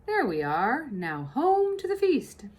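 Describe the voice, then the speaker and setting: A woman's voice: high-pitched, wildly swooping pitch, slow, darkish tone, pitched above the performer's own voice, heavily acted. A woman voicing a clever, cheerful male fox and father in a storybook reading.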